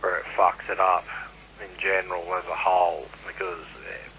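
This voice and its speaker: Low-voiced Australian man on muffled CB radio. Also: level tone, moderate pace. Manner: blunt, sweary, rambling. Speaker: CB radio operator. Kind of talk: casual radio chat. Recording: muffled, noisy CB radio transmission.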